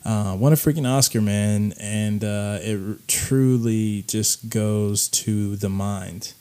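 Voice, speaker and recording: A man hosting a podcast, talking casually about clips in a raspy voice, rambling with plenty of fillers. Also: fairly low pitch, slow pace, warm tone. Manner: laid-back, rambling with many fillers. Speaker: podcast host.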